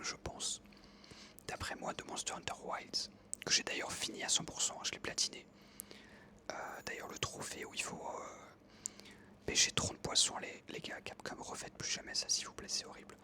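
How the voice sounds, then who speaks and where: An ASMR creator whispering a casual game commentary close to the microphone, pausing and correcting themselves as they go. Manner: whispering throughout, hesitant pauses with frequent self-corrections.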